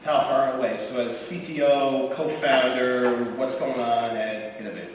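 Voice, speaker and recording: Peppy guy running a panel, brisk intros, big echoey room, faint noise. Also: mid-range voice, steady tone, far from the mic. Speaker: panel moderator. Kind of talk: moderating a conference panel. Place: large conference hall.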